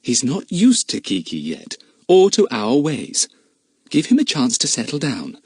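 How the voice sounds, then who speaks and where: A male narrator voicing a firm, brisk, motherly aunt managing a household of children. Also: mid-range voice, wildly swooping pitch, darkish tone, husky, dry recording, studio quality, pitched above the performer's own voice, exaggerated voice. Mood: soothing.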